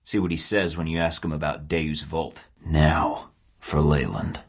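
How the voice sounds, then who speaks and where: A man's voice: extremely low pitch, little pitch movement, a little slow, husky, full character voice. A young man playing a cocky male rogue spy who talks in a sarcastic, clipped way in a studio game recording. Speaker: secret agent protagonist. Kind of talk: video game voice acting.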